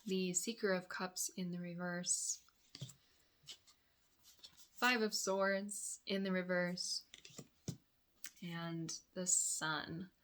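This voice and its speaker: A woman with a raspy, dry-throated voice giving a weekly tarot forecast, offering reflective, meandering guidance to her viewers. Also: fairly low pitch, steady tone, drawn-out words, pauses often, a bit bright. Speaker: tarot reader and yoga therapist.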